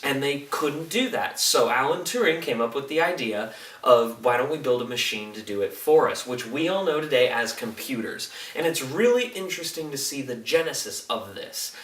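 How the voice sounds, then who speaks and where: Guy doing an off the cuff movie review, excited and slangy, bit far from the mic, roomy echo. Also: mid-range voice. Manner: enthusiastic, emphatic, informal slang. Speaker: video movie reviewer and host. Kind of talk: casual unscripted review to camera. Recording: roomy echo, distant microphone.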